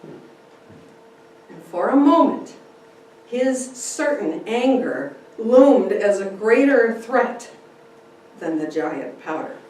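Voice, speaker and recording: A woman giving a theatrical, deliberate dramatic reading of a first-person story. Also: medium pitch, very animated, darkish tone. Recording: echoey room, distant mic, faint noise.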